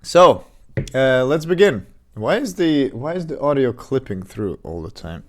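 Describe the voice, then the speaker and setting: Guy on a dev stream, slow and rambly, still a bit sick w a cough, desk mic at home. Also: medium pitch. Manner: relaxed, rambling, many fillers and restarts. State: recovering from illness, slight cough. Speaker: game developer streaming his work. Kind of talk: casual live-stream chat.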